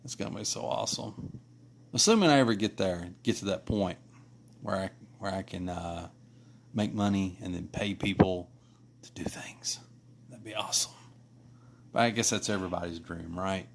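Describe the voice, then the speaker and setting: Guy rambling on a late-night drawing stream, kinda low voice, lots of uh and you know. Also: normal speed, creaky, pauses often, slight background noise. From Kentucky. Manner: rambling, many restarts and fillers. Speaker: artist streaming live. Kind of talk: casual chat while drawing.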